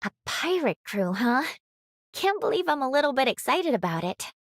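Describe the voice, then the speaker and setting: A woman's voice: high voice, big pitch swings, moderate pace, no room sound, studio quality. A woman voicing an easygoing, supportive young companion in an anime game. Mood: easygoing, warm.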